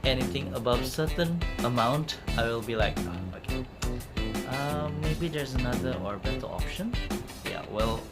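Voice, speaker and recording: A man with a Malaysian English accent talks through travel tips, casual and unscripted. His voice sits at an ordinary pitch and has a chatty, rambling flow with small restarts. Loud plucked guitar music plays under him throughout. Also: animated, moderate pace. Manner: chatty, rambling, repeats words while searching for them. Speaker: travel vlogger. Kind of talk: casual unscripted talk to camera.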